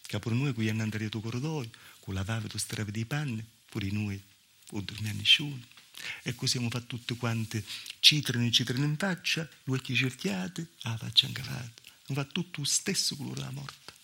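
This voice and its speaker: A man's voice: medium pitch, moderate pace, creaky, pushed and bright. A man with a southern Italian accent playing a wry, plaintive village woman telling her story in a conversational theatrical monologue. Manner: conversational, punctuated with rhetorical "eh". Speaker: stage actor. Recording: live theatre recording with noise.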